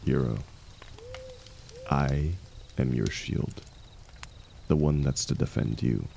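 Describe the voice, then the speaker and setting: Man acting a very deep, gravelly aging warrior. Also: slight background noise, heavily acted. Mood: resigned, earnest. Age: older. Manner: soft, halting, confiding, reassuring. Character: aging wounded warrior, hero's loyal shield, gentle and resigned. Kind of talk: intimate second-person audio roleplay.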